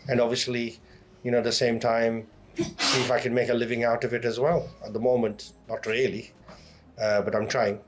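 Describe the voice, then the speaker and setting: A man's voice: mid-range voice, little pitch movement, moderate pace, noisy background. A man from Leeds with a Yorkshire accent, talking casually as an interview guest. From Leeds, Yorkshire, England. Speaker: podcast guest, online content creator. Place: in a pub over a meal.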